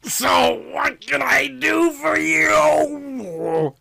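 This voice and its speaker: A man reading a story aloud, voicing a gruff, irritable male police detective who growls and barks his lines. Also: very high-pitched, sing-song, extremely slow, bright, forceful tone, voice pushed up, full character voice. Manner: growling, barking.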